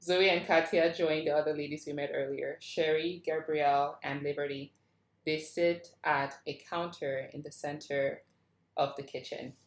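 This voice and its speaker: A woman's voice: sits low, steady tone, normal speed. A woman narrator reading screenplay stage directions aloud. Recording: roomy, echoing sound.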